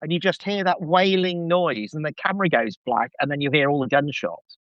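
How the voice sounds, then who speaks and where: A man's voice: a little high, fairly quick, fairly bright tone, no echo, lo-fi sound. A middle-aged man chatting casually as a podcast guest, effusive and gushing, with a self-deprecating streak. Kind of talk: casual enthusiastic review chat.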